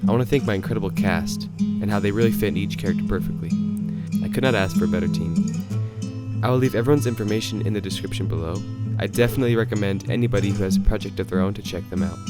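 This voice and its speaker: Low-pitched man reading credits over guitar. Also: fast. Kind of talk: scripted outro. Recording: loud guitar music behind the voice. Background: plucked guitar music.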